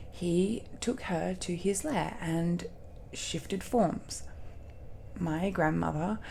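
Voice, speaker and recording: A woman playing an affectionate, secretive dragon-blooded girlfriend in an intimate romantic audio roleplay, hesitant and tender, pausing often. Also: on the low side, vocal fry, dark tone, a little hiss or hum. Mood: earnest, wistful.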